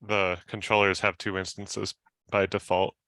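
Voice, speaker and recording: A man's voice: low voice, level tone, measured pace, sharp tone, dry recording. A man giving a casual technical explanation among colleagues, in informal phrasing. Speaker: software engineer in a team meeting.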